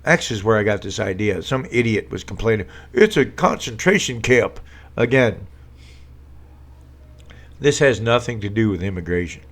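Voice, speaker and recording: Rambling sarcastic man, slightly low voice. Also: lively intonation, moderate pace. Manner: rambling, sarcastic, frequent fillers and restarts. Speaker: live-stream host. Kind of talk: casual political commentary. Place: home room with a green screen.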